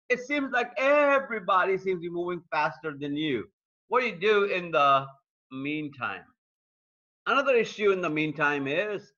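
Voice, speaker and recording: A man's voice: sits high, pushed and bright, highs cut off. A man preaching an online sermon, building points through repetition and speaking straight to viewers joining from around the world. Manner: repetitive, emphatic preaching cadence; addresses viewers directly. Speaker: pastor. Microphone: streaming call mic.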